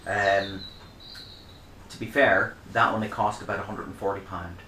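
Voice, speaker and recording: Chatty middle-aged man, bright echoey voice. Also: lowish voice, fairly even intonation, normal speed, a little hiss or hum. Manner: chatty, rambling, frequent ums. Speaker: vlogger reviewing camera bags. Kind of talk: casual show-and-tell. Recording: echoey room.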